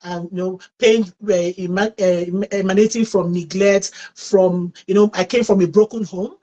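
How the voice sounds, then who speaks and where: A woman's voice: mid-range voice, fairly even intonation, moderate pace, warm tone, lo-fi sound. A Nigerian woman in her thirties or older hosting an interview, thanking her guest effusively and often repeating words as she speaks. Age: 30s or older. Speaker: host.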